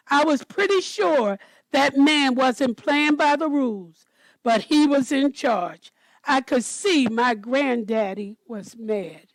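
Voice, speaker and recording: A woman storyteller reading a children's picture book aloud in a deliberate manner. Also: high voice, no echo, poor audio.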